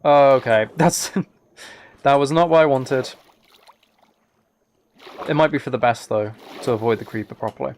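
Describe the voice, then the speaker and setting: A man's voice: mid-range voice, normal speed, pauses often, darkish tone, slight background noise. A man chatting casually as a live streamer, thinking aloud while he plays a game. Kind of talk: casual gaming livestream chat.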